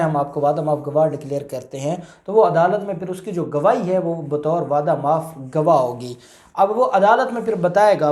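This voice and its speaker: A man's voice: fairly high pitch, darkish tone. A Pakistani man speaking as a lawyer, explaining legal points informally, repeating key phrases and mixing in English terms. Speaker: lawyer, guest expert. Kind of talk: informal legal explanation in question-and-answer show.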